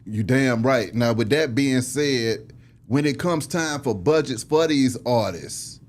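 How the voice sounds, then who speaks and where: A man's voice: medium pitch, takes it slowly. A man hosting an interview, asking questions in an informal, slangy way with some mild swearing. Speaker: interviewer, host.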